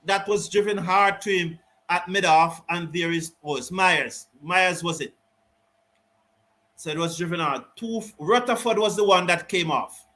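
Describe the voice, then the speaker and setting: A man giving live ball-by-ball cricket commentary and reading out viewer comments, excitable on big hits. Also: high-pitched, measured pace. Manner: lively, rises excitedly on big shots, Caribbean English phrasing. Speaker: cricket watch-along commentator.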